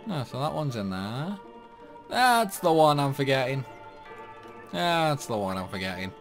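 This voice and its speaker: A man's voice: medium pitch, sing-song, a little slow, soft background music. A man casually commentating a video game playthrough, rambling and talking to himself as he plays. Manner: rambling, talks to himself while playing. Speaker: gaming channel host.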